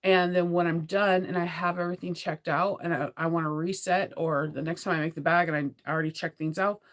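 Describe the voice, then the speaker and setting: A middle-aged American woman chats informally to her livestream viewers. Her voice sits a little low and sounds congested from a cold, unsteady and prone to dropping out. She rambles in a sleepy, giggly, conversational way. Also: steady tone, brisk. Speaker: sewing vlogger. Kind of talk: casual livestream chat.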